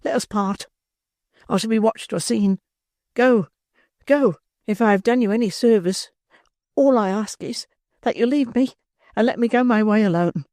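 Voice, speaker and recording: A woman's voice: on the high side, moderate pace, pauses often, warm tone, no room sound, clean studio sound, pitched above the performer's own voice, lightly acted. A woman voicing a desperate, frightened young woman of the streets in an agitated, pleading way.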